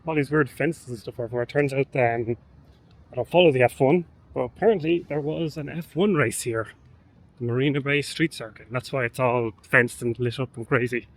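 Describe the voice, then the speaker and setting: A man's voice: mid-range voice, a little fast. An Irish man from Ireland narrating his travel vlog in a casual, wry, self-deprecating way, with the occasional swear. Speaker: vlogger and film photographer.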